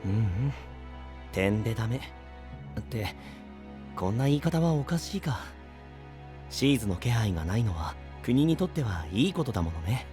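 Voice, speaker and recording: A man's voice: lowish voice, sing-song, moderate pace, long pauses. A man voicing an easygoing, kindly, thoughtful young knight in an anime-style voice drama, with a friendly, reflective delivery. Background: background music.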